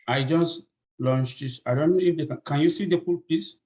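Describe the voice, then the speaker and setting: A man's voice: fairly high pitch, moderate pace, a little mellow. A man working as a training coordinator, hosting a webinar over a video call, polite and apologetic, with many fillers.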